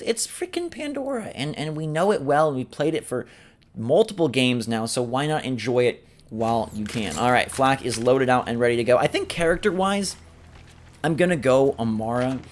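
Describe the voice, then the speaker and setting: A man hosting a gameplay video, giving enthusiastic running commentary. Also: medium pitch. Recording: game sound loud behind voice. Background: video game action noise.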